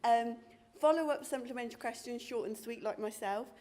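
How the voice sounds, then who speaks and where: Cheerful high-voiced woman councillor. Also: fairly quick, mellow. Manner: cheerful, jokey, direct. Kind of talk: council meeting question.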